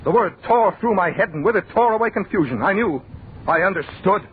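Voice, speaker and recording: A man's voice: sits high, animated, brisk. An actor playing a horrified man who tells of being flung into a deathless future, intense first-person acting in a noisy, muffled old-time radio horror play. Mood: anguished defiance. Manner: dramatic, intense.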